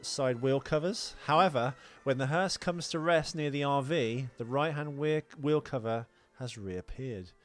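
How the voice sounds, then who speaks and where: A man's voice: mid-range voice, a little slow, few pauses. A man hosting a podcast, giving casual film commentary with a dry, joking manner. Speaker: podcast host. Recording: music playing behind the voice. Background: music.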